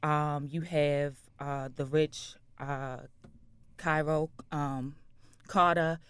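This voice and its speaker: A woman's voice: sits low, fairly even intonation, extremely slow, clean tone, dry recording. A woman interview guest, a writer and director, explaining a story in a studio interview.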